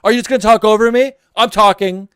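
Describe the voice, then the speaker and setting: A man's voice: high voice, fairly even intonation, quick pace, a bit bright, clean tone. A man giving heated, casual commentary as a reaction streamer, ranting and exasperated, often repeating himself.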